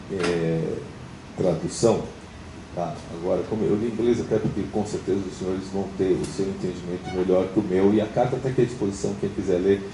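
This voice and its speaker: Brazilian man, formal meeting chair, measured and hedging; low voice, distant roomy pickup with noise. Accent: Brazilian Portuguese. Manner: measured, hedging, frequent "tá?" checks. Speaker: judicial administrator chairing a creditors' meeting. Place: meeting room. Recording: roomy, distant mic, noisy.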